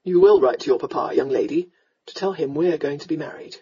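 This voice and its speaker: Woman voicing a gruff, low-pitched young lord. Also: fairly quick, warm tone, highs cut off. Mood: stern, commanding. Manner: blunt, commanding, determined. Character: gruff, hot-tempered, tender young aristocratic rake. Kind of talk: romance audiobook character voice.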